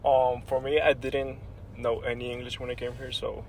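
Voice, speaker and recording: A man's voice: medium pitch, little pitch movement, normal speed, vocal fry, a little mellow, a little hiss or hum, low-quality recording. A young adult man from Venezuela, a native Spanish speaker, giving brief casual answers as a podcast guest.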